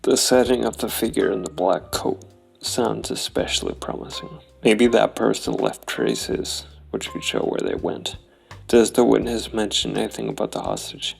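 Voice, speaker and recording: A man narrating his solo tabletop role-playing game, thinking aloud in a deliberate, analytical way. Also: fairly low pitch, sing-song, gravelly, soft background music.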